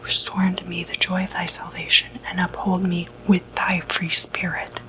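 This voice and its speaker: Woman doing a soothing demon-expelling doctor for asmr, hushed whisper, lowish for a lady, takes it really slow. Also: monotone, poor audio. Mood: solemn, prayerful. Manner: hushed, unhurried, hesitant, soothing. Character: gentle, reassuring doctor who treats demonic possession. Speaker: ASMR roleplay performer. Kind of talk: whispered ASMR medical roleplay.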